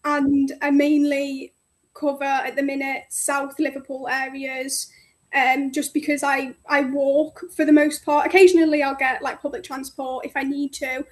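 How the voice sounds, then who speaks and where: A bubbly, outgoing woman in her twenties, from North Wales, talking over the phone. Her voice sits high and bright, and her chat is friendly and easygoing. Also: level tone, normal speed. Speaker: guest, cleaning business owner. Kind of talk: casual interview chat. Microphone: phone call.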